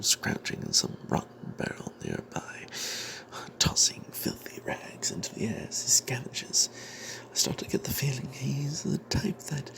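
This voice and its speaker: A man narrates a fantasy adventure in a breathy whisper, as if keeping a secret. His delivery is slow and broken by dramatic pauses, with a dry crackle of creak in the hushed voice. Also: medium pitch. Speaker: narrator telling the tale in character as a cleric. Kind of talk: whispered ASMR storytelling of a tabletop fantasy adventure.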